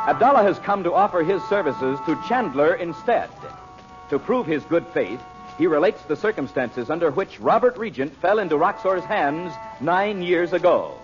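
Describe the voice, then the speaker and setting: A male announcer in a vintage radio style reads scripted narration with animated, swinging intonation. The recording is muffled, with music behind him. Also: a little high.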